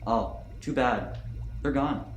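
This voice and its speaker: A man's voice: mid-range voice, slow, close to an everyday voice. A young performer, a man, playing a wisecracking scientist with a dry, teasing manner. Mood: joking. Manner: dry, joking. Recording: echoey room, faint music.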